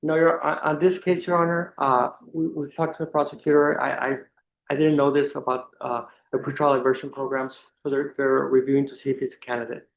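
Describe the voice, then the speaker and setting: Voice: medium pitch, flat delivery, a little fast, gravelly, slight background noise. A defense attorney who stammers a little but stays good-humored with the judge. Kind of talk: courtroom address. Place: courtroom. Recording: echoey courtroom.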